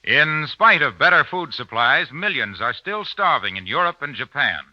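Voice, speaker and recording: A man's voice: a little high, very animated, normal speed, dry recording. An American man announcing in 1940s radio style, with a polished, emphatic sales delivery. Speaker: radio announcer and sponsor pitchman. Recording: dry, muffled old radio broadcast.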